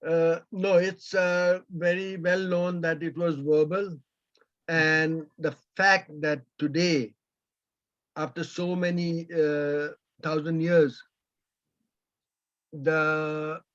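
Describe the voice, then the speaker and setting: Slow, halting man on a video call. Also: on the high side, fairly even intonation. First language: Persian. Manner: slow, halting, with many pauses. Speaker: invited speaker. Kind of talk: answering audience questions. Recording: dull lo-fi video call audio.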